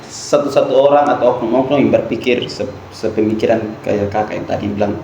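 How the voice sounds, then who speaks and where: Fast-talking joking man, roomy sound. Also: mid-range voice, a little mellow. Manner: joking, quick interjections. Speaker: podcast host. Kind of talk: casual podcast chat. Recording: roomy studio with faint noise.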